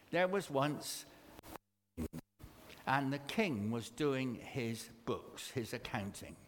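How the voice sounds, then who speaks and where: A man with a mission group giving a chatty church talk and a children's story, halting with fillers. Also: medium pitch. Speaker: mission worker speaking at church. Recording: echoey hall reverb.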